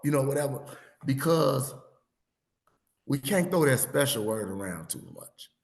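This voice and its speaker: A man in his thirties or forties hosting a sports podcast, losing his voice, rambling and animated as he tells stories in casual chat. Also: medium pitch, a little slow, creaky, roomy sound. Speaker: podcast host.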